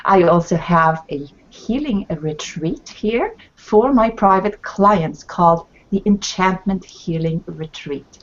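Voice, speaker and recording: A woman from Sweden opening a show, speaking in a measured, deliberate way over a video call. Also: lowish voice, animated, dull audio. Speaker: life and business mentor, host. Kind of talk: scripted show intro. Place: home in Canada.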